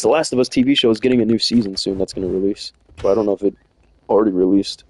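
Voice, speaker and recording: A man's voice: fairly low pitch, dark tone, rough recording. A young man chatting casually and rambling in slang while streaming a game from home. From United States. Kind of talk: casual chat while playing.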